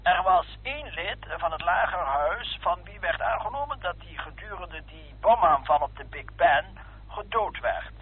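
A man's voice: high voice, expressive, moderate pace, phone-quality sound. An actor playing a polite, hesitant, fussy male library clerk speaking on the phone in a vintage Dutch radio play. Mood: apologetic, formal. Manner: hesitant, formal, obliging. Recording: thin telephone sound, vintage radio recording.

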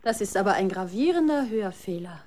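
A woman's voice: mid-range voice, lively intonation, fairly quick, warm tone, lightly acted. A woman playing a cheeky, mocking girl in a children's radio play, bold and teasing. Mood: gleeful, mocking. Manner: teasing, laughing.

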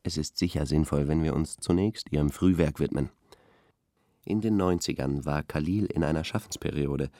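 A man's voice: very deep voice, darkish tone, dry recording, clean studio sound. An actor playing an earnest, pompous male intellectual who analyses like an academic in a radio play. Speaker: expert guest on a radio discussion. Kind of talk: radio play acting as an interview.

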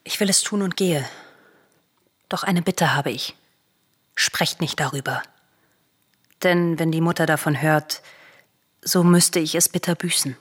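An actress playing a timid, obedient young princess in a classical radio tragedy, speaking cautiously and formally. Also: female voice, low voice, steady tone, measured pace, pauses often, husky. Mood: anxious, compliant.

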